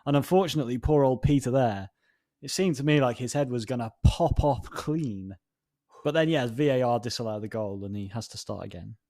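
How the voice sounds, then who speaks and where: Male co-host, medium pitch, casual discussion with wry, wordy delivery. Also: a little fast, warm tone. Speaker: podcast co-host.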